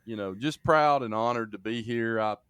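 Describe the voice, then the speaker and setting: A man's voice: medium pitch, clean tone, dry recording. A man from Alabama, a podcast guest talking casually, often restarting his sentences and telling stories with energy. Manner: halting, with many restarts and fillers; lively storytelling. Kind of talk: casual conversation.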